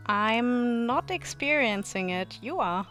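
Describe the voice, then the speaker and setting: Gloomy, shy visual-novel schoolgirl, female reader: understated, hesitant, fairly high, unhurried. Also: expressive, fairly bright tone, faint music behind. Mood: shy, curious.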